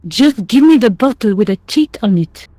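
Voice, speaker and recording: A woman's voice: on the high side, animated, normal speed, warm tone, dry recording. An actress playing a blunt, groggy, grumbling French woman who likes a drink, with a French accent, in an adventure game. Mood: grumpy, thirsty.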